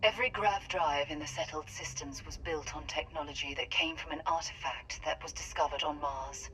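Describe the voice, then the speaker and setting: An actress playing a solemn, knowing woman in a video game, explaining in a measured way, with a radio-like filter. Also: sits low, a bit bright, a little hiss or hum, rough recording. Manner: measured, expository. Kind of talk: dramatic video game acting.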